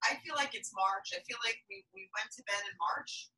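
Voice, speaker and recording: A woman chatting as her husband's radio co-host, reacting with quick questions and interjections. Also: medium pitch, sharp tone, husky, rough recording. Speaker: host's wife, co-host. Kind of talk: casual banter.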